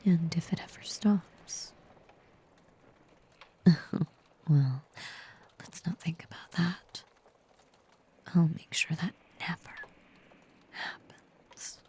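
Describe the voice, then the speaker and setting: A woman voicing a possessive, obsessively loving female werewolf in an intimate, breathy, softly menacing ASMR roleplay. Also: fairly low pitch, extremely slow, pauses often, heavily acted. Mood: hushed, possessive. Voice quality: breathy, whispery.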